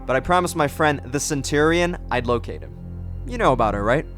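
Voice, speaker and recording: A man's voice: a little high, expressive, brisk, fairly bright tone, soft background music. A man playing a vain, sarcastic tech specialist with a flippant manner in a radio play. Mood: cocky.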